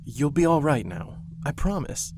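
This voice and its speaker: A man playing a gentle hesitant soul guide. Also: on the high side, big pitch swings, unhurried, noisy background. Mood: reassuring. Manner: soft, hesitant, reassuring. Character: gentle male guide of souls to the afterworld. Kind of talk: horror audio roleplay.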